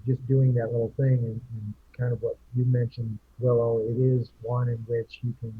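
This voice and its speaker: A man's voice: medium pitch, lo-fi sound, a little hiss or hum. A man hosting a casual group chat show, rambling with many restarts. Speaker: podcast host.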